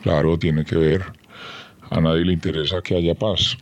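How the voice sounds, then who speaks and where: A man with a Colombian Spanish accent and an extremely low, deep voice. He answers plainly and tersely, with little flourish. His tone stays flat and matter-of-fact. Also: moderate pace. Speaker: interviewee. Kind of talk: interview answers.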